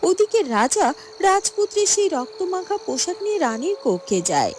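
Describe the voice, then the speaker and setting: A woman's voice: very high voice, very animated, normal speed, darkish tone, a little hiss or hum. A woman narrating a fairy-tale cartoon in a scripted storytelling style.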